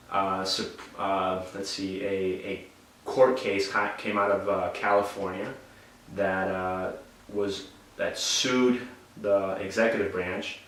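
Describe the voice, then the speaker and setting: A young man from Bolivia, speaking low and level in a steady, explanatory manner. His voice sits a little away from the mic and rings in a very echoey room. A faint hum of background noise runs under him. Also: distant mic. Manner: measured, explanatory, some restarts. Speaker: interviewee. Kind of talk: calm explanatory testimony.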